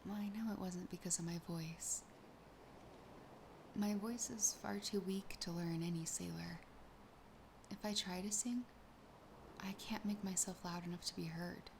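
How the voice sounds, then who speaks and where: A woman voicing a sassy, possessive, lonely siren in a soft, breathy, often whispered ASMR roleplay, slow, teasing and intimate. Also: fairly low pitch, steady tone, background noise. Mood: wistful, self-deprecating. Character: possessive, sassy, lonely female siren toying with her catch.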